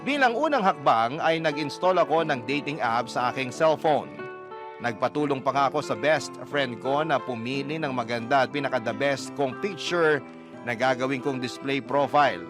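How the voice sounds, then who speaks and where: A man with a Filipino accent reading a letter as a dramatic, measured radio narrator. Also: medium pitch. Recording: prominent music bed under narration.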